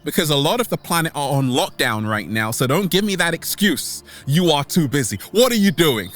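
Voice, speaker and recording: Male motivational vlogger, higher-pitched and very lively, theatrical delivery with music behind. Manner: theatrical, exclamatory, with drawn-out words and sudden swoops. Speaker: online motivational vlogger and host. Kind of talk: motivational monologue to camera, catchphrase-heavy. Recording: background music under the voice.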